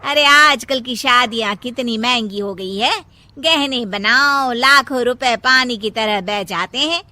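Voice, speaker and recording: Woman as older mother-in-law, high-pitched, forceful, scolding, melodramatic, cartoon moral story. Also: a little slow, no echo. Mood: bitter scolding. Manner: scolding, dramatic wailing and complaining. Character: nagging, melodramatic older mother-in-law obsessed with having a grandson.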